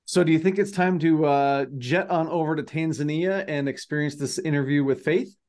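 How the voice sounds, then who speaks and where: A man's voice: a little high, normal speed, a little mellow. A man with an American accent co-hosting a podcast in a casual, conversational way. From United States. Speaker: podcast co-host.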